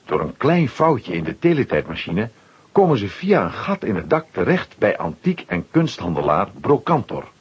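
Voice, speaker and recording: A man's voice: fairly low pitch, big pitch swings, moderate pace, breathy edge. A man narrating a children's audio play in a scripted storytelling style. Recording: slightly dull old audio recording.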